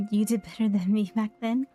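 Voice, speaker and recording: A woman's voice: mid-range voice, unhurried, mellow, soft background music. A woman streamer giving casual gameplay commentary, murmuring to herself as she plays. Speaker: game streamer.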